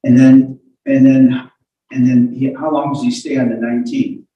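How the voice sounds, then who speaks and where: A man questioning a witness as a lawyer in court, halting and repeating words as he forms his questions. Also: mid-range voice, flat delivery, warm tone, highs cut off. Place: indoor courtroom. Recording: echoey courtroom, distant microphone.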